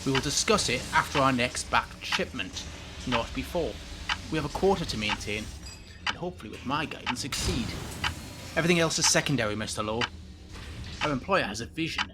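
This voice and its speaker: An actor playing a scheming, controlling villain boss in a sci-fi audio drama, formal and commanding with veiled menace. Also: male voice, medium pitch, lightly acted. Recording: very noisy with clicks.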